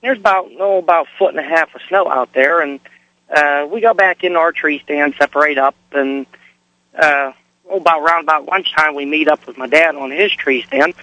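A man from Pennsylvania calling a radio show, slightly hoarse from a cold, casually telling a story. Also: a little high, normal speed, bright, forceful tone, dry recording, low-quality recording. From Pennsylvania, United States.

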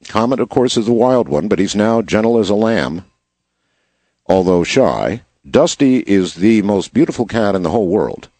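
A slightly hoarse man hosting a show, reading news headlines in a casual style and adding wry asides. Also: fairly low pitch, darkish tone, dry recording. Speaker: show host.

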